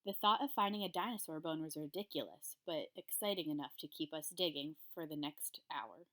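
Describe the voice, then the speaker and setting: Woman teacher reading aloud, slightly low voice. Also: brisk, fairly bright tone. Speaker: third grade teacher. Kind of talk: read-aloud of a children's story for pupils.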